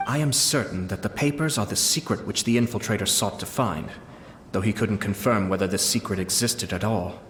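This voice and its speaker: An actor playing a sly, composed man who speaks in a measured, formal, faintly amused way. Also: on the low side, slight background noise, lightly acted. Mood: knowing. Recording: roomy game voice-over with music.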